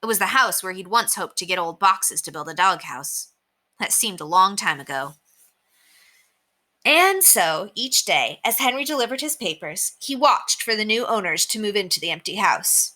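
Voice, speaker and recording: A woman teacher reading a children's chapter book aloud in an expressive storytelling manner. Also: medium pitch, normal speed. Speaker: elementary teacher.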